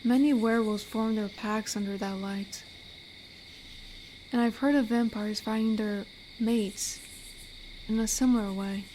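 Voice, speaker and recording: A woman playing an amiable female werewolf in an intimate roleplay audio drama, gentle and teasing as she speaks softly to the listener. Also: fairly high pitch, fairly even intonation, a little slow, long pauses, a little hiss or hum, close to an everyday voice, low-quality recording. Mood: soft storytelling. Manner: gentle, teasing, soft-spoken. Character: amiable, flirty female werewolf, tender forest protector.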